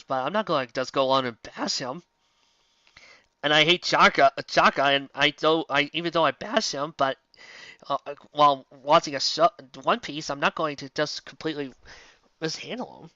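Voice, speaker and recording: A woman's voice: low-pitched, a bit bright, no echo, highs cut off. A woman giving casual, unscripted fan fiction commentary, incredulous and exasperated, often stopping to rephrase and asking rhetorical questions.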